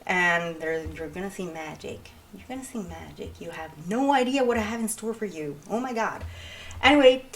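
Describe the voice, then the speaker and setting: A woman's voice: medium pitch, lively intonation, moderate pace, a little hiss or hum. A young woman with an Italian accent, chatting casually and rambling through an introduction to her channel, with many fillers and restarts. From Italy. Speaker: writer and streamer.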